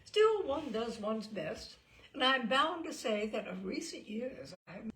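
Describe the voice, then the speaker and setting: A woman's voice: a little high, big pitch swings, unhurried, fairly bright tone, heavily acted. A woman voicing a breezy, imperious older earl with a pompous, jovial and unflappable manner. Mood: suave.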